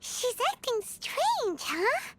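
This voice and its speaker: Super high squeaky little fairy kid from an anime game, excitable n cheeky, bouncy delivery. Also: female voice, drawn-out words, slight background noise, exaggerated voice. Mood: curious, puzzled. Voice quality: squeaky, childlike. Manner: animated, refers to self in third person. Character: small excitable girl fairy companion, cheeky and indignant.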